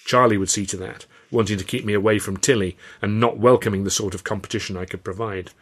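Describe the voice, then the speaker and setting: A man's voice: on the low side, steady tone, fast, a bit bright. A man with an English accent narrating a first-person story from a script in a wry, literary style. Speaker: author and audiobook narrator.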